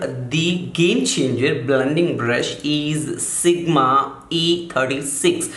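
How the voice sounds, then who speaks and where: A man calmly walking through makeup brushes in a casual vlog, softening his voice on purpose and often stopping to correct himself with fillers. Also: fairly high pitch, roomy sound. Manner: halting, many fillers and self-corrections; deliberately softened, soothing delivery. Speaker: makeup vlogger. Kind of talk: casual product walkthrough.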